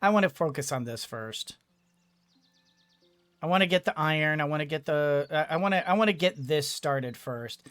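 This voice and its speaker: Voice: mid-range voice, animated, normal speed, fairly bright tone, clear, resonant tone, dry recording. A gamer giving casual let's play commentary, thinking aloud and often repeating words. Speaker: gaming video host.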